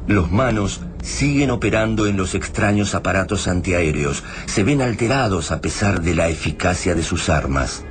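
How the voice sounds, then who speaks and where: Deep, solemn Argentine radio-drama narrator. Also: male voice, expressive, moderate pace, few pauses, a little hiss or hum. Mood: grave, ominous. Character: grave narrator of an alien invasion saga.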